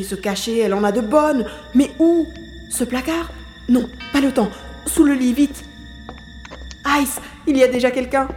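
A woman's voice: on the high side, darkish tone, lightly acted. A woman playing an anxious young sleuth in an audio drama, narrating inner thoughts while sneaking around, full of exclamations and questions. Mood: agitated. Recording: roomy long reverb, faint noise.

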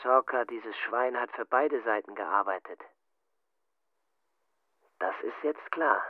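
An actor playing a menacing, coldly polite Gestapo colonel in a radio play, questioning in a clipped, officious manner with diction. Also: male voice, mid-range voice, slow pace, phone-quality sound. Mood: accusing. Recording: radio play with music underneath.

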